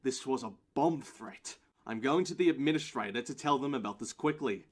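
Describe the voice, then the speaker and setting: A man's voice: medium pitch, animated, normal speed, natural voice. Man playing a curt, bossy security guard. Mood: urgent, insistent. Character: Owen, tense bossy male airport security guard. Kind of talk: audio play acting.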